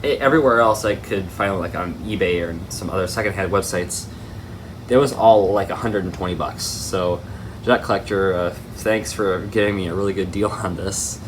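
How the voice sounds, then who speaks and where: A steady air-conditioning hum sits behind a man's low voice. He talks casually, like a hobbyist presenting an unboxing to viewers. His delivery rambles and tends to wander off on tangents. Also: moderate pace. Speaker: hobby video host.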